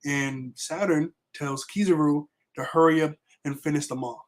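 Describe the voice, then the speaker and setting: A young man with a raspy voice left over from being sick, casually recapping a manga chapter with lots of fillers. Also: fairly high pitch, normal speed. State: raspy voice recovering from illness. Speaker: manga review vlogger. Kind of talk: casual chat.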